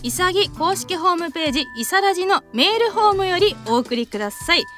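A woman hosting a radio show with casual chat and announcements, teasing and often correcting herself in an animated manner. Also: very high-pitched, quick pace. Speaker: radio show host, troupe actress. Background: background music.

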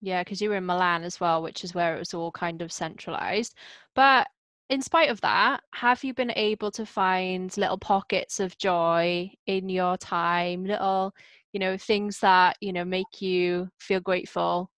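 Low-voiced woman hosting, dry sound. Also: fairly even intonation, a bit bright, clear, resonant tone, dry recording. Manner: chatty, quick asides, British phrasing. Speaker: podcast host. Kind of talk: casual chat.

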